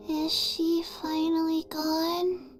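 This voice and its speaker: High, slow, haughty talking serpent. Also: female voice, full character voice. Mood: exasperated. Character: sly, haughty talking serpent, bossy and teasing. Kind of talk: video game voice acting.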